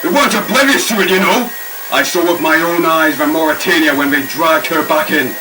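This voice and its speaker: Analog horror role: male actor as agitated, emphatic male shipwreck survivor under interview, slightly high voice, off-mic with clear noise. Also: normal speed, close to an everyday voice. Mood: agitated, insistent. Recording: distant, noisy old-tape interview recording.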